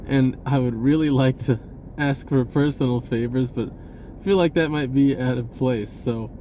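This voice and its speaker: A man giving an unscripted personal message in a casual, halting, rambling way with short broken phrases. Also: mid-range voice, mellow, slight background noise.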